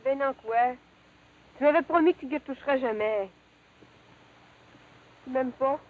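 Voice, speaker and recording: An actress playing a devoted, principled young country sweetheart in a 1950s television drama, plain-spoken, with a Quebec French accent. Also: female voice, sits high, a little slow. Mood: reproachful. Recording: tinny, noisy 1950s television soundtrack.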